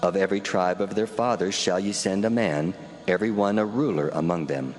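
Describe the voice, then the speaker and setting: A man playing God, very deep, solemn, commanding, long echoing reverb, music behind. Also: a little fast, a little mellow, lightly acted. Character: the Lord, deep commanding divine voice. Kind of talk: dramatized scripture reading.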